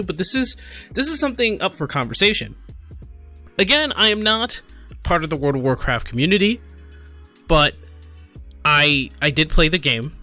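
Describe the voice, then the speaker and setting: Guy doing casual gaming commentary, kinda halting and apologetic, bright punchy voice, soft music in back. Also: a little high. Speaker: gaming video creator. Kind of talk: casual commentary, reading a forum post aloud.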